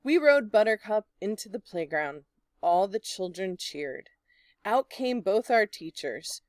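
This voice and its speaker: A woman reading a children's picture book aloud in an expressive, deliberate storytelling manner. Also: medium pitch, no echo, studio quality. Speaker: storybook reader.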